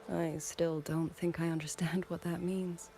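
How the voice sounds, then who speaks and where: An actress playing a grieving former musician turned soldier in a video game, speaking softly and measuredly with wistful resolve, over background music. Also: female voice, lowish voice, level tone, unhurried, slight background noise. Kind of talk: dramatic video game voice acting.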